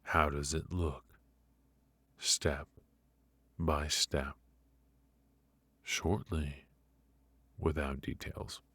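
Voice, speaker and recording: A man playing a menacing, teasing mafia boss in an intimate ASMR roleplay, speaking with deliberate pauses and mock politeness. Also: very deep voice, flat delivery, bright, forceful tone, slightly rough, dry recording, full character voice. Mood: probing, unhurried. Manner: slow, deliberate, mockingly polite with drawn-out pauses.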